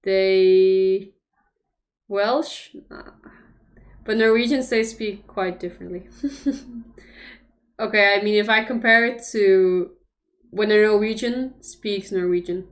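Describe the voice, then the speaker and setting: Lady streaming chess commentary, slow careful talk, trails off and restarts while working out lines. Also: mid-range voice. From Denmark. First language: Danish. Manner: thinks aloud over moves, hesitant restarts.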